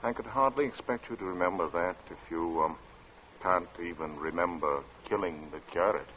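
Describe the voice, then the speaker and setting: An actor playing a sly, unflappable, mockingly genial gentleman in a 1940s radio drama. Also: sits low, moderate pace, natural voice. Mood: mock innocent. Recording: muffled hissy 1940s radio recording.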